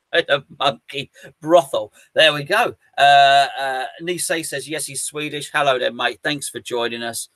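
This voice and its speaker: A man's voice: mid-range voice, steady tone, a little slow, fairly bright tone, dry recording. A man hosting a casual football fan show, reading out listener comments and humming fillers between them. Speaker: fan podcast host.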